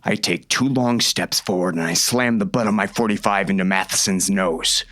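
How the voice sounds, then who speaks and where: A man's voice: deep voice, moderate pace, a bit bright. A man playing a hard, vengeful sheriff in a western audiobook, speaking in a curt, threatening way. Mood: cold anger. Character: hard, menacing male sheriff, a vengeful father. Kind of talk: first-person audiobook narration and dialogue.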